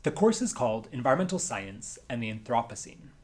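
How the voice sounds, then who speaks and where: A male university lecturer giving measured, scripted narration about a course. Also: mid-range voice, sing-song.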